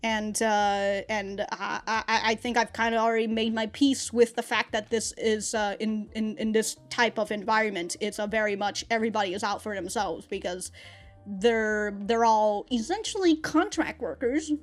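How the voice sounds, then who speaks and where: A woman's voice: mid-range voice, normal speed, faint music behind. A young adult woman hosting an anime reaction vlog, rambling through a casual review with frequent restarts and laughs. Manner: rambling, animated, laughs often, many restarts.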